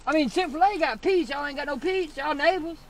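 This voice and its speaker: A man bantering casually and slangily in deadpan jest as he orders from inside a car. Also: very high voice, brisk. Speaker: drive-thru customer and prankster. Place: inside a car at drive-thru. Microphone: camera in back seat. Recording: noisy car interior, engine hum.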